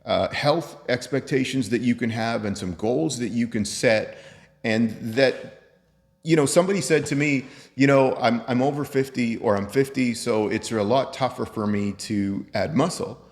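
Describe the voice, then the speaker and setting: Male host, 50s, mid-pitched, conversational and motivational, recorded with long room reverb. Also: normal speed. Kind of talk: casual chat to camera.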